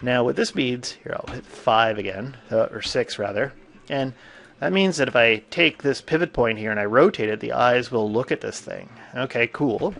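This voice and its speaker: A man with a stuffy cold, teaching a software tutorial in a casual, thinking-aloud way with frequent fillers. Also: medium pitch, lively intonation, moderate pace, creaky. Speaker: 3D animation instructor. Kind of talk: screen-recorded software tutorial.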